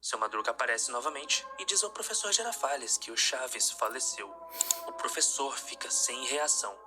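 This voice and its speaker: A man narrates a dark scripted story in a mid-range voice. Music plays clearly behind his reading. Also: mid-range voice, lively intonation, moderate pace.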